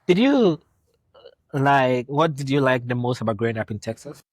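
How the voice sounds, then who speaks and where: A young man from Ghana hosting a casual interview, relaxed and rambling, laughing often. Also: mid-range voice, moderate pace, no room sound. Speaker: podcast host.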